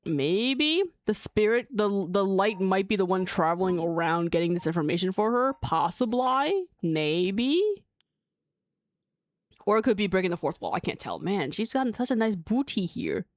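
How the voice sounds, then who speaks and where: A woman giving chatty, animated commentary on a video game as she plays, talking back to it and reading bits aloud. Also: medium pitch, moderate pace, no echo. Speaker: host of a video game let's play.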